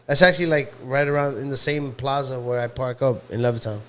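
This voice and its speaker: A man's voice: mid-range voice, fairly even intonation, brisk, a bit bright. Fields: easygoing man, food truck guest. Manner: easygoing, hesitant with fillers. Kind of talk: casual chat.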